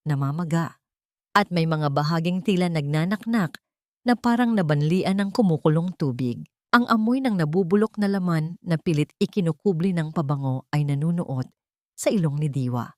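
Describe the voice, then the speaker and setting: Female audio drama narrator, low register, solemn scripted storytelling, dry sound. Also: animated, moderate pace, darkish tone, no room sound.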